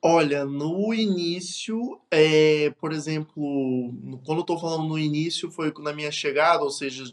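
A young man with a Brazilian Portuguese accent and a few European Portuguese words, his voice sitting a little high. He speaks in relaxed interview chat and often doubles up words as he restarts a thought. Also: unhurried, hardly any pauses. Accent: Brazilian Portuguese with some European Portuguese words. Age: young adult. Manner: repeats words, hesitant restarts. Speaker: podcast guest. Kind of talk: casual interview conversation.

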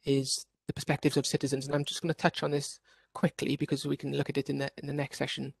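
A man's voice: medium pitch, completely flat delivery, fast talker, gravelly, husky, no echo, studio quality. A man from Wales giving an online workshop presentation as a researcher, explaining steadily with occasional restarts over a video call.

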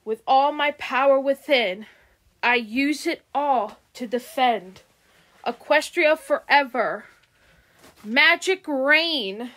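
A woman voicing an excitable young male pony prince who stammers in a fan roleplay. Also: high voice, heavily acted. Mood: heroic.

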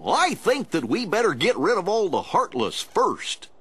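Goofy, husky bouncy cartoon dog. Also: male voice, sits high, huge pitch swings, measured pace, a bit bright, full character voice. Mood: mild, concerned. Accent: American. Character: Goofy, gentle good-natured cartoon dog sidekick. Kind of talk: cartoon video game acting.

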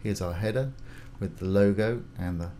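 A man hosting a casual step-by-step software tutorial, informally talking through each action as he goes. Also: fairly low pitch, normal speed. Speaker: tutorial host. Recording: clear background noise, keyboard typing.

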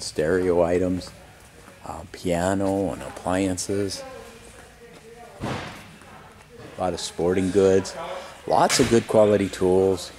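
A man giving a casual, unscripted walk-around narration as an auctioneer promoting a sale. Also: low-pitched. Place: large warehouse showroom, later outdoor lot. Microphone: handheld camera mic, operator behind camera. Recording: camcorder audio with faint background noise.

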